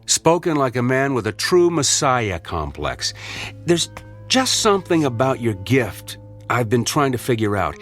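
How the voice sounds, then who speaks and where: Brief: skeptical probing doctor, played by a man, expressive, dry sarcasm. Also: medium pitch, a little slow, faint music behind. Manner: blunt, questioning, sardonic. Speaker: fellow doctor. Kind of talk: old-time radio drama acting.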